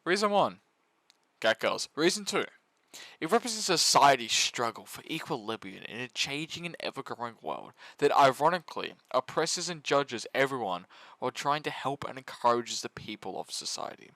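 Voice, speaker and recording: A man's voice: mid-range voice, lively intonation, normal speed, bright, forceful tone, no echo, poor audio. A man narrating a comedic scripted review as a video essayist, mock-serious, sarcastic and sweary.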